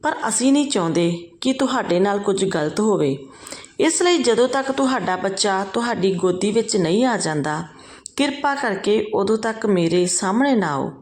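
A woman voicing a gentle, self-sacrificing village sister-in-law in a story, polite and pleading. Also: mid-range voice, animated, normal speed, roomy sound, lightly acted. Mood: tearful pleading.

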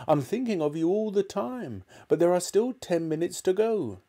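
A man's voice: high-pitched, normal speed, voice pushed up, heavily acted. A man voicing a polite, patient young boy answering his grandmother. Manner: polite, calm, slightly sly.

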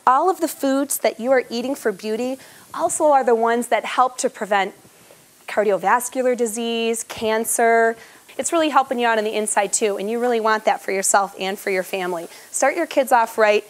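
Chatty cooking teacher lady, thirties, talking right to camera on a lapel mic in a big kitchen. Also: on the high side. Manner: upbeat, chatty, addresses viewer directly. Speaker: cooking instructor. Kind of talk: cooking show audition demo. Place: large home kitchen, indoors.

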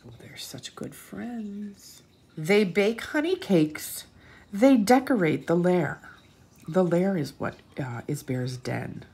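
Slow, animated woman reading to children. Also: medium pitch, vocal fry, husky. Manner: animated, pausing, with asides and questions to children. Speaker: children's story reader and teacher. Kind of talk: expressive picture-book read-aloud for young children.

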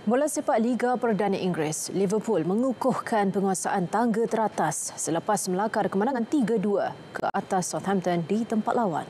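A young woman presenting sports news in a TV studio, reading a script in a steady, formal way. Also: medium pitch, normal speed, hardly any pauses, a little hiss or hum. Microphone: studio mic. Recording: news music bed underneath.

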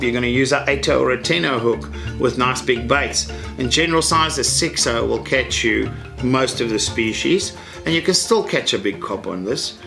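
A man's voice: medium pitch, vocal fry. A middle-aged man, teaching a fishing rig step by step in a practical, matter-of-fact way from an indoor tackle room. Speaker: fishing instructor. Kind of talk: hands-on tutorial.

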